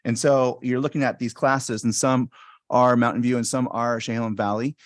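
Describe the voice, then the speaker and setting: A soft-spoken man presenting school fees at a public board meeting, talking into a stand microphone with many ums and restarts. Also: medium pitch, little pitch movement, normal speed, dry recording, studio quality. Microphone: stand microphone at a meeting table.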